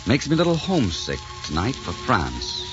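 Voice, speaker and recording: A man's voice: lowish voice, wildly swooping pitch, moderate pace, music in the background. An actor playing a suave, ardent French nobleman in a courtly, theatrical 1940s radio romance. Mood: tender, romantic. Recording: muffled old 1940s radio recording.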